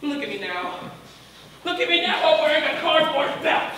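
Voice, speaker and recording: A washed-up older Broadway producer, high-pitched and full of impatient bluster. He wheedles and schemes in broad stage-comedy style. The recording is very echoey, picked up from far off, with clear noise. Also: expressive, normal speed. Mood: wheedling. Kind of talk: broad comic stage acting. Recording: very echoey theatre, distant mic, noisy.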